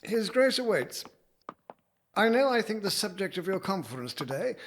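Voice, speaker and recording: A man playing a discreet, formal manservant in a period audio drama, courteous and quietly cautioning. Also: high voice, sing-song, moderate pace, full character voice.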